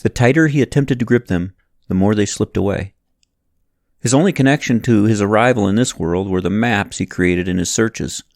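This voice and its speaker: A man's voice: deep voice, darkish tone, no echo, studio quality. A man reading a novel aloud as scripted audiobook narration.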